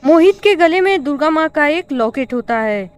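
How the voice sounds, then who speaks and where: A woman's voice: high voice, expressive, moderate pace, faint music behind. A woman reading scripted narration for a cartoon horror story.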